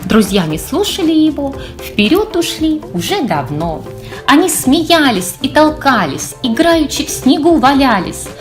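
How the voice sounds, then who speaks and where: Animated high-voiced woman telling a verse tale. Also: measured pace, faint music behind. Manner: expressive, sing-song rhymed delivery. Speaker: author reading own tale. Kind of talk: scripted children's storytelling in verse.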